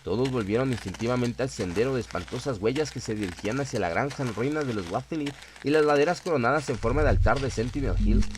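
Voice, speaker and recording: A man's voice: mid-range voice, quick pace, hardly any pauses, background noise. A man reading scripted horror narration in a dramatic storytelling style.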